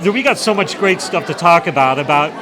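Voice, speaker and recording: A man's voice: on the high side, a little fast, music in the background. Chatty male host over crowd noise. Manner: eager, chatty, easily excited. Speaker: talk show host. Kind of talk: event interview chat. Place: busy indoor party. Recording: crowd noise of a busy party.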